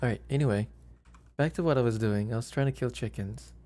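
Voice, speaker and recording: Soft-voiced woman streamer, giggly. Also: a bass voice, brisk. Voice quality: soft, gentle voice. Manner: chatty, giggly, hums and sings to herself. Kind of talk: casual gameplay livestream chat.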